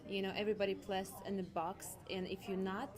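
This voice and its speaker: Woman, Russian accent, interview guest, earnest, deliberate, emphatic, mid-range pitch, noticeable crowd noise behind. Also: flat delivery. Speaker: interview guest, hypnosis practitioner. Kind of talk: conversational interview answers. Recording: busy crowd chatter behind the voice. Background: event crowd chatter.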